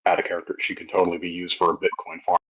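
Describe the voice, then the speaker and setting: Deep husky man at a tabletop game. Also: little pitch movement, very fast, a bit bright, poor audio. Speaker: player. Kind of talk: casual tabletop play.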